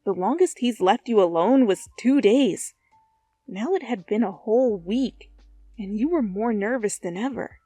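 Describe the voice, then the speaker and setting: A woman reading fan fiction aloud as an expressive second-person story narration. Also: a little high, unhurried, dry recording, soft background music.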